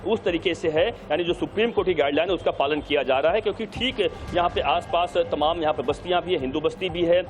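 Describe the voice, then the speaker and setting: A man's voice: on the high side, quick pace, few pauses, a little hiss or hum. A man with an Indian accent reporting live from an outdoor city location in a wordy, repetitive running commentary. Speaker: field news reporter. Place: outdoors on a city street outside a mosque.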